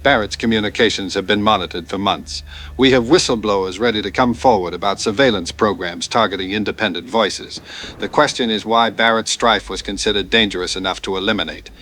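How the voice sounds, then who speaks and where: A man's voice: on the low side, brisk, sharp tone, a little hiss or hum. A man playing a combative, insistent populist talk show host in a news debate drama. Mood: accusing, defiant. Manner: insistent, confrontational.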